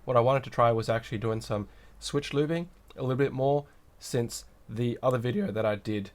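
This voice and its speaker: A man's voice: mid-range voice, brisk. A man hosting a livestream with a cold and sore throat, sounding nasal as he chats casually, greets viewers and reads out the chat while he builds a kit. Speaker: livestream host.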